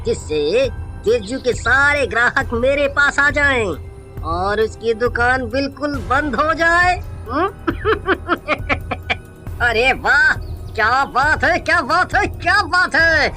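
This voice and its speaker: A woman voicing a scheming, envious male sweet-shop owner in a cartoon moral story, muttering in a drawn-out, exaggerated way with music behind. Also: high-pitched, animated, sharp tone, full character voice. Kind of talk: animated moral-story voice acting.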